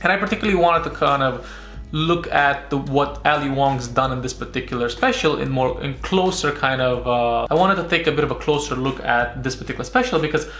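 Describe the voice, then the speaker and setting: A man's voice: medium pitch, fairly quick, a bit bright. Man reviewing comedy in an echoey room. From Romania. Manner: repeats and rephrases, often tags sentences with "right?". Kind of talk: casual analytical review commentary. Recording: roomy echo with music behind.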